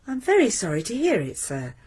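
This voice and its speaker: A stern, practical landlady in a radio drama, brisk and scolding with very lively swings of pitch. Her voice is slightly husky. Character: sharp-tongued married landlady, practical and stern.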